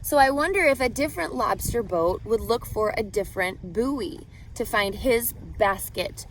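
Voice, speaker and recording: A woman reading a picture book aloud as a teacher to children, expressive and carefully phrased, pausing to put questions to her listeners. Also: on the high side, slight background noise, low-quality recording.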